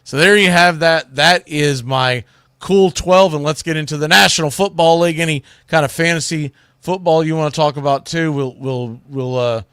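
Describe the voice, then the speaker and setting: Higher-pitched man hosting sports talk, dry sound. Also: normal speed, fairly bright tone, clean tone, no echo. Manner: opinionated, conversational. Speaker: sports radio show host. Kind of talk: casual sports commentary monologue.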